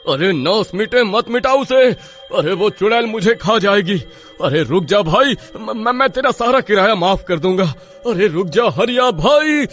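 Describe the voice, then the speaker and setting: A man acts out a panicky, cursing villager in a horror tale. The voice is fairly high and sharp, exclaiming with drawn-out melodrama over soft music. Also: animated. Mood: panicked pleading. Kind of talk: exaggerated Hindi story character acting.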